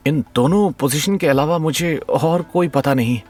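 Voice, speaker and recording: Man acting a shy, stammering, higher-voiced young husband. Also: normal speed, darkish tone, natural voice. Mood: nervous. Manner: hesitant, stammering. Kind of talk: Hindi audio drama acting. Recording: music behind the voice.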